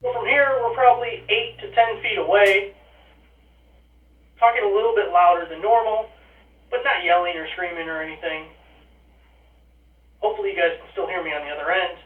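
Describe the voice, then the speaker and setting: A man's high voice comes through a thin, phone-like intercom speaker. He chats casually to his viewers as he tries out the product. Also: fairly even intonation, fairly quick, pauses often. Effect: heard through an intercom speaker. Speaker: product reviewer. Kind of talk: casual test talk to viewers.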